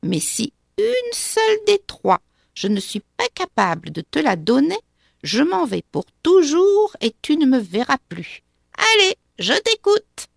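A woman voicing a spiteful old witch with a small, sharp, sour voice, sneering and drawing out her words in a storybook reading. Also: sits high, huge pitch swings, gravelly, dry recording, studio quality, pitched above the performer's own voice, exaggerated voice. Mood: gloating. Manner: sneering, mocking, drawn-out words.

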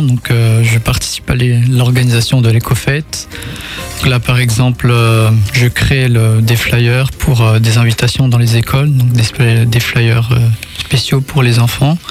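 A man's voice: mid-range voice, level tone, measured pace, soft background music. A man of twenty-one from Madagascar, a volunteer answering questions hesitantly as a radio guest. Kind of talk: unscripted interview answers.

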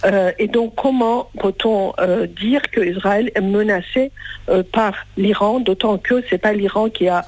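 An interview guest from Iran, a woman speaking at a middle pitch in an earnest, grave way. She hesitates often, filling gaps with drawn-out filler sounds between short phrases. The sound is dry, with no room around her voice. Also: darkish tone, dry recording, low-quality recording. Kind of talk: radio interview answer.

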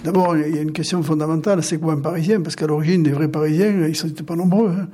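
A man's voice: fairly high pitch, fairly even intonation, fast, music in the background. A man with a southern French accent, reflecting in an interview on what accents mean. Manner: reflective, questioning. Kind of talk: interview testimony.

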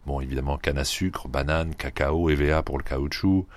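A man from the Paris region, a club head being interviewed on radio, who pauses often with fillers and restarts. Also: very deep voice, no room sound. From Paris region, France. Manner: hesitant, many fillers and restarts. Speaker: guest, sand yachting club head.

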